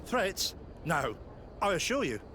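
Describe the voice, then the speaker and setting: An actor playing a courteous, nervous and evasive wine merchant in a video game. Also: male voice, sits high, big pitch swings, takes it slowly, noisy background, full character voice. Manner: fussy, polite, evasive. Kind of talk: game cutscene acting.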